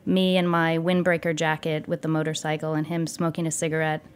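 A woman's voice: low-pitched, flat delivery, brisk, clear, resonant tone. A woman in her thirties, a guest on an interview podcast, telling her story casually with many fillers. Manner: storytelling, thoughtful, with frequent fillers.

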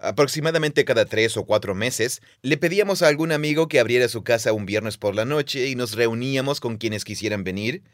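A man co-hosting a podcast, telling personal stories about Bible reading in a thoughtful, measured way. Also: mid-range voice, no room sound, studio quality. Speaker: podcast co-host, Bible teacher. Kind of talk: casual conversation, storytelling.

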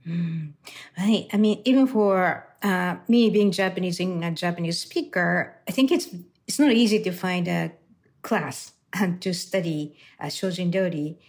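A woman with a slightly low voice hosts an interview in a loose, conversational way. She strings her questions together with easy, talkative phrasing. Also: measured pace, a little mellow. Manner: chatty, with fillers and restarts. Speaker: interview host.

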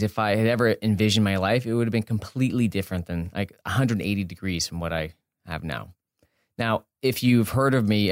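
Low-voiced man in his forties, chatting. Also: dry recording, clean studio sound. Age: 40s. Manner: rambling, self-effacing, informal. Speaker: podcast guest, business author. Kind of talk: casual interview chat. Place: indoor studio space.

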